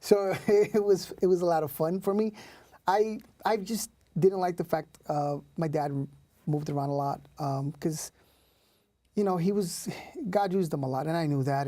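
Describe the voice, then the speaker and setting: A man's voice: on the high side, fairly even intonation, normal speed, dark tone, no echo. A man in his thirties, born in Puerto Rico, talking casually as an interview guest with many fillers and restarts. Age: 30s. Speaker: youth leader.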